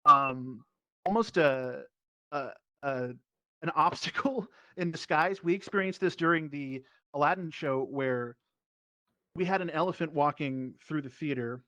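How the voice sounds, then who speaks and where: A man's voice: fairly high pitch, measured pace, sharp tone, dry recording. A man speaking as a panelist in a casual discussion, feeling out his thoughts with some repeated words. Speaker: panelist, VR theatre performer. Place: online VR voice chat.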